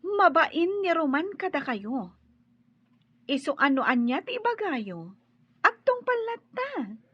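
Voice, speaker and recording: A woman's voice: very high voice, sing-song, slow pace, no room sound, heavily acted. An actress playing an anxious young daughter begging her father, in a radio drama. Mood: pleading. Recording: dry muffled studio audio.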